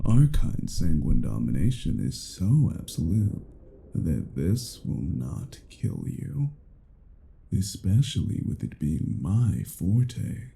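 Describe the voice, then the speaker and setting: A man's voice: deep voice, huge pitch swings, takes it slowly, vocal fry, dark tone, full character voice. A man playing a cruel, domineering vampire in a roleplay audio drama, speaking in a menacing, condescending manner with formal, archaic phrasing. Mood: menacing, disdainful. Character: cruel, domineering male vampire toying with captive werewolf.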